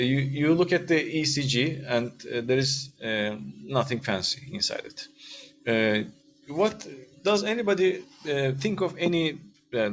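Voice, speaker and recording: A man with a Turkish accent giving an informal, interactive lecture in English as a second language, with hesitant phrasing and frequent checking questions. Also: mid-range voice. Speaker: radiology lecturer. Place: online video-call class. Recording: dull lo-fi online call, faint noise.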